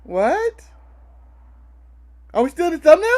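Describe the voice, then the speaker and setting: An American man with a notably high voice co-hosts a casual live podcast. His pitch swings widely as he banters. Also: sing-song, measured pace, darkish tone. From United States. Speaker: podcast co-host.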